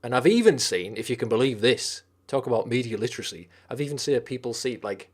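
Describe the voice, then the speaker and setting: A man's voice: mid-range voice, animated, fairly quick. A man with a Teesside accent hosting a live stream, chatting casually and swearing as he reads and answers viewer comments. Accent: Teesside English. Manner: rambling and sweary, with frequent restarts.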